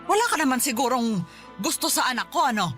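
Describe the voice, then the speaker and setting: A woman's voice: high-pitched, sing-song, fairly quick, a bit bright, faint music behind. A woman playing a stern, suspicious, blunt middle-aged mother in a Filipino radio drama. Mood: confrontational, stern. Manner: blunt, disapproving.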